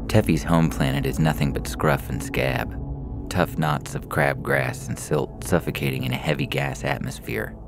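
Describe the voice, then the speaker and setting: A man's voice: rumbling low, expressive, moderate pace, vocal fry, over music. A man reading a science fiction story aloud as a scripted narration.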